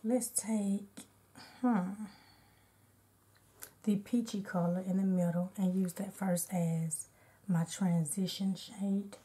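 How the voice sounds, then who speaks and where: Slightly hoarse, congested woman chatting casually. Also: mid-range voice, steady tone, takes it slowly, long pauses, warm tone. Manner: narrates each step as she goes. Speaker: beauty vlogger. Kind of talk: casual unedited makeup tutorial chat.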